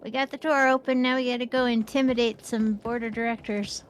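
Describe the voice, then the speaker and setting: A woman's voice: fairly high pitch, fairly even intonation, brisk, slight background noise. A woman co-hosting a game stream with casual, conversational talk. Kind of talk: casual gaming chat.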